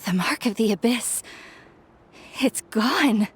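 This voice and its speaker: Solemn village lady, slow, slightly high voice, anime game. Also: animated, slight background noise. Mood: awed.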